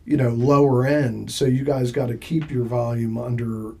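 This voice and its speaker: A man's voice: mid-range voice, steady tone, normal speed, gravelly, warm tone, slightly rough. A man hosting a casual interview, effusive and rambling, laughing often and restarting his sentences. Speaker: podcast host.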